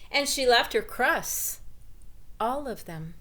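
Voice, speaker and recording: Bright-voiced woman reading a storybook slowly. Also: a little high, lively intonation. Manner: slow, deliberate, explanatory delivery for children. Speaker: storybook reader. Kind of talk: expressive children's picture book read-aloud.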